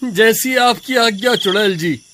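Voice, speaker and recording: A man's voice: sits high, a little slow, background noise. A man voicing a greedy, cowardly village milkman in a melodramatic cartoon story, whiny and exclaiming. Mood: pleading, submissive.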